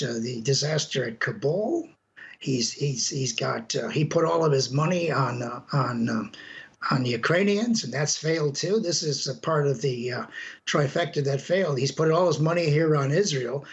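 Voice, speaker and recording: An older man giving blunt, emphatic and halting commentary as an academic foreign affairs pundit in a live news interview. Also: on the high side, muffled recording.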